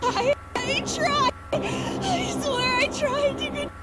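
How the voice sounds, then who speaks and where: A gloomy, lovelorn teenage girl in a dubbed anime game. Her extremely high, slow voice stammers with hurt and insecurity. Loud music plays behind her. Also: rough recording. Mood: pleading. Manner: wounded, stammering. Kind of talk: English anime game dub acting.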